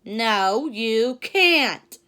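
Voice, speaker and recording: Woman voicing a loud, haughty storybook monkey. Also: a little high, animated, smooth, heavily acted. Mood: scornful. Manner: loud, drawn-out, scornful. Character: mean, haughty monkeys shooing someone away. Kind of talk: children's storybook read-aloud.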